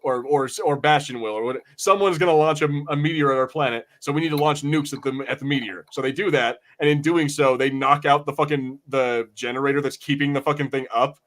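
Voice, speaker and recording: A man's voice: medium pitch, steady tone, fairly quick, hardly any pauses, fairly bright tone. A man co-hosting a podcast, chatting casually about pop culture in a profane, exasperated ranting manner. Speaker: podcast co-host.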